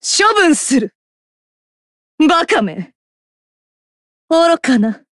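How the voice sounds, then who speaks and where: A woman playing a cold, haughty young dark sorceress in an anime game, imperious and scornful. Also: high-pitched, big pitch swings, drawn-out words, dry recording, clean studio sound, full character voice. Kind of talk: anime game battle acting.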